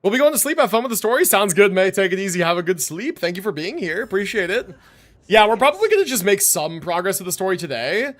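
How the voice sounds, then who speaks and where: A man's voice: sits high, very animated, fast, fairly bright tone. A man hosting a gaming livestream, chatting casually in a slangy, rambling way with some swearing. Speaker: livestream host. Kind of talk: casual gaming stream chat. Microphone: streaming microphone.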